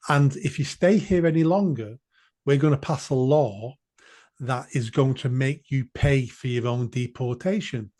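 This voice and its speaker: A man talking on a political podcast at a middling pitch. He speaks bluntly and with emphasis, hammering points home by repeating short phrases. His delivery is plain and insistent. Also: unhurried, warm tone. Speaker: podcast guest.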